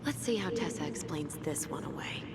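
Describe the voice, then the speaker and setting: A woman's voice: low-pitched, lively intonation, normal speed, heavy background noise. An actress playing a sceptical, protective young woman in a video game, with a casual, dry delivery. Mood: uneasy, hushed. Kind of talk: naturalistic video game acting.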